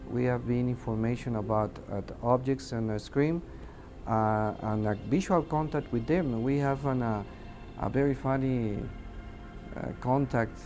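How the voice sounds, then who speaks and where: Slow, halting Mexican man over music. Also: medium pitch, dark tone. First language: Spanish. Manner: halting, searching for words in non-native English. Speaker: air traffic controller giving testimony. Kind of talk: interview testimony, unscripted recounting.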